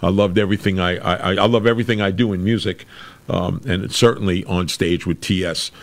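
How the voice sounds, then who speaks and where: A middle-aged man with a very deep voice, chatting casually as a podcast host over a video call. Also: fairly even intonation, fast. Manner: chatty, with restarts and laughs. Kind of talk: casual interview chat. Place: room with a brick wall.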